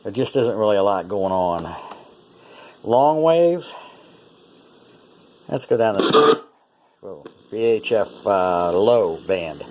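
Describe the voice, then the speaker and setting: Low-voiced North American man, casual demo. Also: animated, slow pace, pauses often, slight background noise. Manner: plain-spoken, conversational, thinking aloud. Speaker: presenter demonstrating a vintage radio. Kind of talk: casual unscripted product demonstration.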